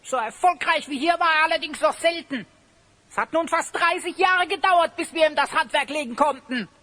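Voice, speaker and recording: A pert, sharp-tongued adventurer's companion in a fantasy audio drama. The voice is extremely high and piercingly bright, with theatrical delivery. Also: female voice, moderate pace. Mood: earnest. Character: sharp-tongued, mocking female companion of the heroes. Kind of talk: German fantasy audio play acting.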